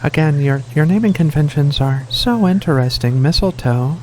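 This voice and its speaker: A performer voicing a gentle, curious alien cat-woman in a soft-spoken ASMR roleplay, hesitant and thoughtful. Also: medium pitch, animated, a little hiss or hum, natural voice. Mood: curious, fascinated. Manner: hesitant, thoughtful, slow pauses between phrases.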